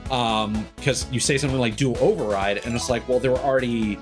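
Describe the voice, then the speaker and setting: A man with a mid-pitched voice talks like a game streamer over clearly audible music. He rambles through his opinions casually, pausing and picking up again, then leaning hard on certain words. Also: steady tone. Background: music behind the voice.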